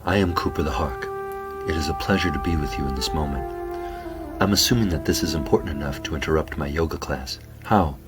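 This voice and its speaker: Man playing a very deep-voiced serene zen hawk. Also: loud music behind the voice. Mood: serene, solemn. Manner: zen, measured, formal speech. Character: serene yoga-loving hawk, calm and formal. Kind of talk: children's audio drama.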